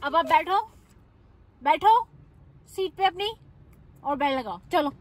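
A woman's voice: very high-pitched, animated, slow, poor audio. A young woman vlogging on a road trip and in a hostel room, chatty and playfully bossy, switching between Hindi and English, filmed on a phone at arm's length. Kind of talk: casual family travel vlog. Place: inside a car, then a small hostel room. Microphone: phone held at arm's length.

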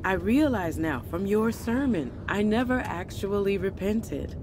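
Earnest, troubled churchgoing wife, played by an actress. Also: female voice, mid-range voice, lively intonation, a little slow, background noise, close to an everyday voice. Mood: confessional, anguished. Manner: earnest, confessional. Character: earnest, troubled pastor's wife confessing empty faith. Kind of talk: audio drama acting.

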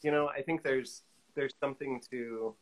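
A middle-aged man talks as a guest in a casual video-call interview. His pitch is medium, and he is easygoing, working out his thoughts as he speaks. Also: steady tone, moderate pace, background noise. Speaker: guest, collage artist. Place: indoor studio room, video call.

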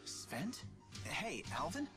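A man's voice: sits high, huge pitch swings, slow pace, music in the background, heavily acted. An actor playing an earnest, curious young man in a video game. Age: young adult.

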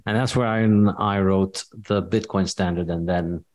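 A man in his forties from Palestine, an economist answering interview questions in a measured, matter-of-fact way, with frequent ums. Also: deep voice, steady tone. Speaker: guest, economist and author. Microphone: studio mic on video call.

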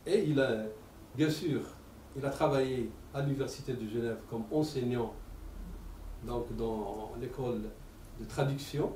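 A man in his sixties with an Arabic accent, giving an animated public talk with many repeated words. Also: mid-range voice, unhurried, a little hiss or hum. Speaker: lecturer. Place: lecture room. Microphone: table stand mic. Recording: echoey room, mic at a distance.

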